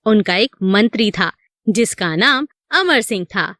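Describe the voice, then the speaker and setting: A woman's voice: mid-range voice, expressive, a little slow, a bit bright, no room sound, clean studio sound. A woman narrating a scripted moral story for children.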